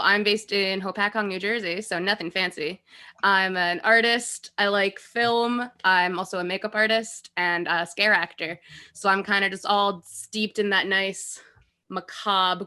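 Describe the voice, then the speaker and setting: Bright-voiced woman chatting casually. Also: medium pitch, fairly even intonation, measured pace, clean tone. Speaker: panelist and reader. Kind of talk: casual chat.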